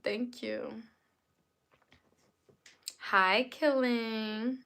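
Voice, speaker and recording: A woman's voice: fairly high pitch, steady tone, extremely slow, creaky, sharp tone, smooth. A woman livestreaming in casual chat, whose first language is not English, talking straight to her viewers and repeating herself often. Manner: talks straight to the chat, repeats phrases over and over. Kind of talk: casual live-stream chat.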